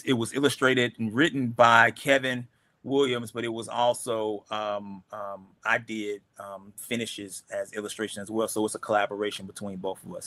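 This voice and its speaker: A man who creates comics, hosting a casual livestream chat and talking haltingly with many pauses and restarts. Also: fairly low pitch, bright, forceful tone, no echo. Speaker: comic creator and show host.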